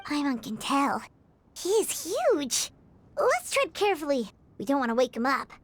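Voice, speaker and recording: A woman voicing a small, childlike fairy companion in a video game, excitable and bossy, exclaiming a lot. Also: sits high, normal speed. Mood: wary. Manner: exclamatory, speaks of self in third person.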